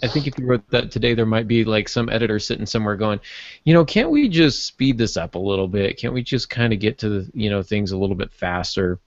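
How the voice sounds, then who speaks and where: A man with a low voice co-hosts a casual podcast. He banters and adds asides, and the sound is dry and a little dull. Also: lively intonation, quick pace, no echo. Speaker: podcast co-host. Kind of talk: casual chat.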